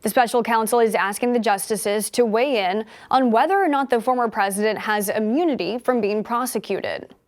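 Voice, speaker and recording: An American woman with a fairly high voice presents scripted news as an anchor. Her delivery is measured and formal, in the manner of a newsreader working through prepared copy.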